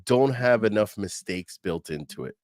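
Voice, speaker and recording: A man's voice: on the low side, moderate pace, dry recording. Chatty male podcast host, dry sound. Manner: conversational, animated, with fillers and slang. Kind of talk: casual podcast chat.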